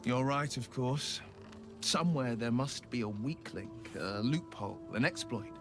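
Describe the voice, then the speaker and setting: A man's voice: mid-range voice, animated, a little slow, music in the background, close to an everyday voice. A man playing an earnest, burdened monster hunter in a fantasy video game, speaking articulately and dramatically. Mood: grim, resolute. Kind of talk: video game character acting.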